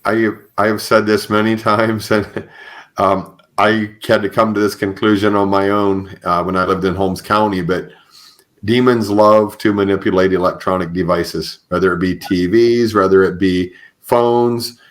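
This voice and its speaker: A man's voice: fairly low pitch, steady tone, moderate pace. An American man speaking as a podcast host in a casual interview style.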